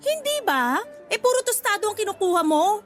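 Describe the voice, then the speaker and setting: A lovestruck young Filipina food vendor with a high voice. She is sassy and quick to get defensive, giggling in a radio drama manner, with faint music underneath. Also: big pitch swings. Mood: indignant, suspicious. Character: jealous, smitten young woman cassava vendor named Cassandra.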